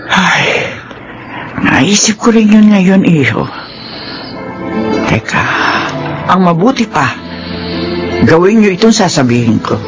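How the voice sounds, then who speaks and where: A man's voice: high-pitched, sing-song, takes it slowly, long pauses, dull audio, music in the background, full character voice. A man voicing a concerned, advising mother of a grown son, with a Filipino accent, in a radio drama. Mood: thoughtful.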